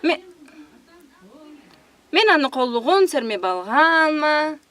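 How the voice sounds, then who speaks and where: A woman's voice: high-pitched, big pitch swings, slow pace, a bit bright, slight background noise. A woman voice actress rehearsing scripted cartoon lines, repeating them carefully and expressively. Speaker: voice actress in a dubbing session.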